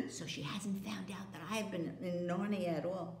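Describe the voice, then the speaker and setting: Woman reading a bright, earnest storybook girl, eager and raised, roomy. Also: medium pitch, unhurried, gravelly, slight background noise. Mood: excited. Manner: excited, breathless telling. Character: excited kind little girl, bright and earnest. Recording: roomy echo.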